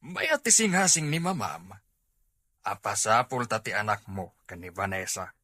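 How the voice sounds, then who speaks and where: A man's voice: fairly low pitch, very animated, normal speed, pushed and bright, no echo, heavily acted. A man playing an older, concerned Filipino father in a comedy drama. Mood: disapproving. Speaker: actor in an audio drama.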